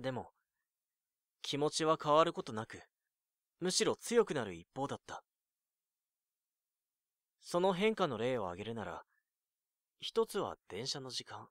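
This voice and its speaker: An actor playing a lovesick, awkward nineteen-year-old in an audio drama, introspective and self-deprecating. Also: male voice, mid-range voice, very animated, unhurried, long pauses, a bit bright, dry recording, studio quality. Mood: brooding, confessional. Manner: introspective monologue, flustered in dialogue. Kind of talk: audio drama acting with first-person narration.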